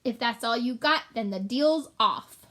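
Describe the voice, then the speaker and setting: A woman's voice: on the high side, expressive, bright, forceful tone. Woman voicing a haughty little male rat mapmaker. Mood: scornful. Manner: haughty, quick to take offence.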